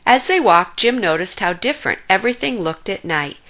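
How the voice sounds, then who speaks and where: A woman reading a children's story aloud as an expressive storyteller. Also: medium pitch, a little fast.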